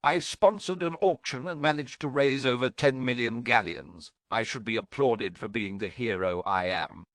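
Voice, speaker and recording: A man's voice: medium pitch, moderate pace, hardly any pauses, dry recording, studio quality, pitched above the performer's own voice, lightly acted. A man voicing a haughty, exasperated young wizard.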